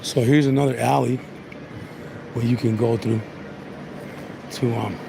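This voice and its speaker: Gravelly, hoarse man vlogging on a street. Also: mid-range voice, steady tone, unhurried, noisy background. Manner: rambling, laid-back, chatty tour commentary. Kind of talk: casual walking vlog. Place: outdoors on a busy town street. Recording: outdoor street recording with music. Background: music from restaurants.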